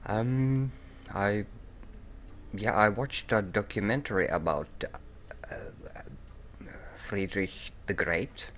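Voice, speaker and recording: Guy with a sleepy morning voice rambling over a strategy game, real low and unhurried, faint hum behind. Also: a bit bright. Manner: rambling, many ums and restarts. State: groggy morning voice. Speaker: let's play host. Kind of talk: casual game commentary.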